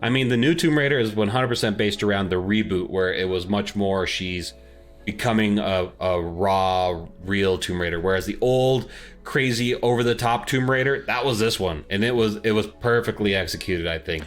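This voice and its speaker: A man's voice: fairly low pitch, normal speed, faint music behind. A man co-hosting a podcast, chatting casually about films and games in an informal, slangy way. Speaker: podcast co-host.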